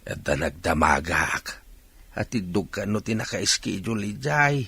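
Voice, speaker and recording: An actor playing a worried, patient poor husband and father in an Ilocano radio drama, earnest and raspy. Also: fairly low pitch, sing-song, normal speed, pushed and bright, no echo, full character voice. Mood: resigned, aggrieved. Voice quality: raspy, gravelly.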